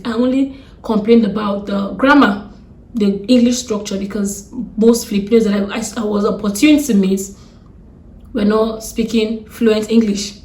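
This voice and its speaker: Fast-talking Nigerian woman, blunt and fed up. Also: medium pitch, darkish tone, echoey room, poor audio. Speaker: vlogger. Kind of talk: ranting vlog to camera.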